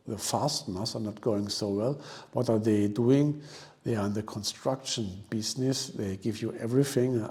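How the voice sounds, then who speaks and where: Casting: male presenter, soft mellow tone, mid pitch, informal and slightly halting analysis walkthrough. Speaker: host of a stock investing channel. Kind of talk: informal explanatory stock analysis commentary.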